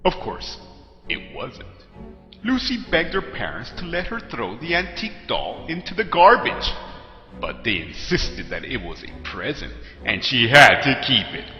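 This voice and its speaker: Guy reading a horror story, really animated pitch swings, music playing behind him. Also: unhurried, lo-fi sound. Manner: dramatic, suspenseful storytelling delivery. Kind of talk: scripted scary story narration. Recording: echoey long reverb, music behind. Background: clear background music.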